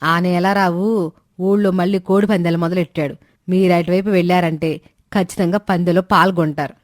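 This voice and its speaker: A woman's voice: on the low side, fairly even intonation, moderate pace, dry recording, studio quality, lightly acted. A woman playing a fretful older village mother and wife in a family story. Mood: worried. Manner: fretful, lamenting. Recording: music bed under the voice. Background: background music.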